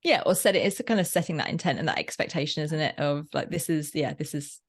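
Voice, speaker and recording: A woman's voice: deep voice, fast. A woman speaking as an interview guest in a casual, discursive way, often rephrasing mid-sentence. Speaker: podcast guest, workplace learning facilitator.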